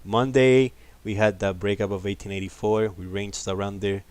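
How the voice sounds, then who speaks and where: Calm deep-voiced man hosting a live stream. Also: smooth, no echo. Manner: calm, laid-back, unhurried. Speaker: host of a live trading session. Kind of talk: casual livestream market commentary.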